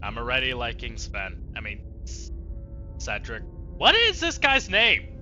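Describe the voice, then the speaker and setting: A man's voice: medium pitch, huge pitch swings, unhurried, sharp tone, highs cut off, faint music behind. A man giving casual, sweary, sarcastic gaming commentary, talking back to the game as he streams. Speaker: let's play streamer.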